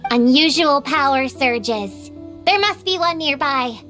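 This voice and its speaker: A proud little girl witch hunter from a video game, talks in a high childlike voice. She is self-serious and defensive, measured in pace, with music behind her. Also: lively intonation. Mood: determined. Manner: self-important, hesitant when bluffing.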